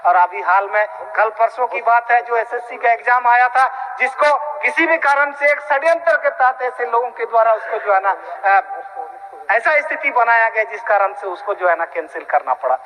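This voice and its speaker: A man's voice: extremely high pitch, normal speed, pushed and bright. A man delivers an emphatic political speech in an assembly, in long winding sentences. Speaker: ruling-party legislator. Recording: reverberant hall, public address sound.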